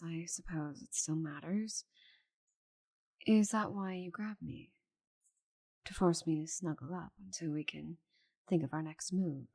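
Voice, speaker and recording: A proud, stubborn she-dragon, played by a woman in an intimate ASMR roleplay. Her voice is low and gravelly with a husky grain. She speaks dryly, with grudging affection. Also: mellow. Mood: grudging, fond. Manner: proud, dry, halting when flustered. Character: giant stubborn female dragon, cursed to shrink, grudgingly affectionate.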